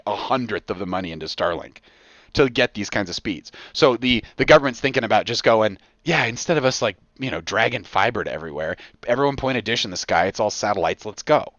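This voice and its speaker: A man in his forties with an American accent chatting casually on a podcast, riffing in an excitable, profane, slangy way full of exaggeration. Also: fairly low pitch, quick pace, no echo, muffled recording. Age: 40s. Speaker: podcast co-host. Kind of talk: casual comedic podcast chat.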